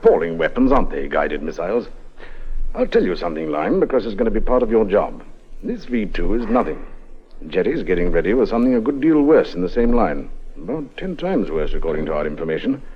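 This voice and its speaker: Casting: urbane British spy chief, husky and lowish, clipped understatement, vintage radio drama. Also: male voice, very animated, fairly quick, dull audio. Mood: brisk, persuasive. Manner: clipped, understated, genteel upper-class phrasing. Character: suave, breezy male British intelligence captain recruiting an agent. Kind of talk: 1950s radio drama acting.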